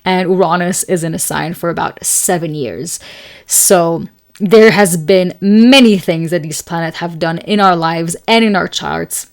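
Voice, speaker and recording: A young woman from Switzerland hosting an astrology show, talking casually and telling a rambling story, her voice slightly raspy and tired from a long day of talking. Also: lowish voice, measured pace. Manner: chatty, rambling, many fillers and laughs. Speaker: astrology podcast host. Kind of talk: casual solo talk and storytelling.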